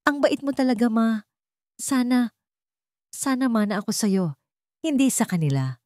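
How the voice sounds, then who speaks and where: A woman puts on a young Filipino boy, raised in pitch and plaintive. He is a wounded, resentful son in a radio drama, recorded dry. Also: big pitch swings, measured pace, darkish tone, dry recording. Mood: soft, wounded. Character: hurt, resentful young boy devoted to his mother.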